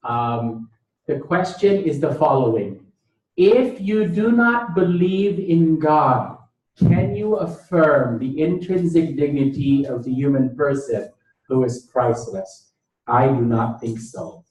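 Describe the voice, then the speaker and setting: A man's voice: medium pitch, slow, darkish tone. A man from Thailand lecturing, speaking emphatically, repeating key phrases and posing rhetorical questions. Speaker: lecturer, bioethicist. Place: indoor lecture room. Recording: echoey room, distant mic.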